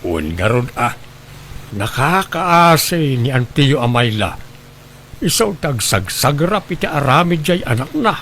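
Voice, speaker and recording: A man's voice: medium pitch, very animated, unhurried, fairly bright tone, a little hiss or hum. A man acting a concerned, helpful family relative in a radio drama, speaking earnestly and sympathetically. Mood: sympathetic.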